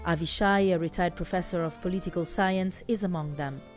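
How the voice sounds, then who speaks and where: A woman's voice: on the low side, fairly even intonation, a little fast. A woman reporter reading scripted narration for a news report. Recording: music bed behind voice. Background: music.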